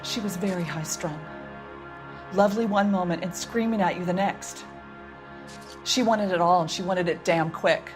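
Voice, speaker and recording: A woman's voice: on the low side, normal speed, long pauses, over music, lightly acted. An actress playing a wistful, gossipy witness giving her account in a video game drama. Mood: candid.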